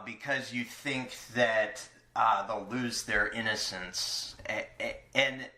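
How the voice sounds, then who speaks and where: A man hosting a casual podcast interview, halting and searching for his words as he talks. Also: medium pitch, bright, forceful tone. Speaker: podcast host.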